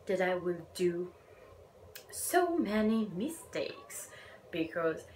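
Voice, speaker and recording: A woman's voice: fairly low pitch, sing-song, darkish tone. A young Italian woman with an Italian accent, shyly reviewing books in a hesitant vlog and trailing off into whispers. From Italy. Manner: slow, hesitant, shy, drops to a whisper at times. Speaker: book vlogger. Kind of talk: casual book review vlog. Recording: echoey room with faint ambient noise.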